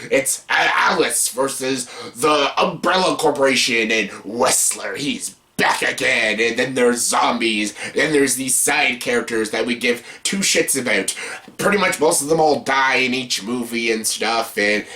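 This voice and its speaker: A man's voice: mid-range voice, measured pace, pushed and bright. A man hosting a casual ranked-list movie review, blunt, profane and exasperated, repeating himself for emphasis. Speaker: video host, amateur movie reviewer.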